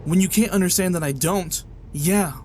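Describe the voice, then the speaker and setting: A man's voice: high-pitched, moderate pace, mellow, natural voice. Guy acting evasive young boyfriend, radio drama, gets defensive, natural delivery, faint noise behind. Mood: defensive, irritated.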